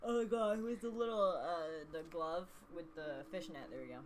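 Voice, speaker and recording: A woman's voice: lowish voice, lively intonation, normal speed, a little mellow. A woman hosting a let's play with casual reactions and read-out dialogue, sassy and slangy. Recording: faint background noise and ambience.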